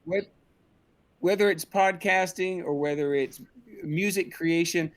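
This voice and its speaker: Male interviewer with slightly high voice. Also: unhurried. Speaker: interviewer, host. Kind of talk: casual interview questions. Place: remote video call.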